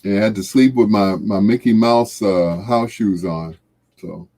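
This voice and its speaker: Slow, mellow middle-aged American man, low-pitched. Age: middle-aged or older. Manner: jovial, folksy, drawn-out repeated phrases. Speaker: livestream host. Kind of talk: casual livestream chat with viewers.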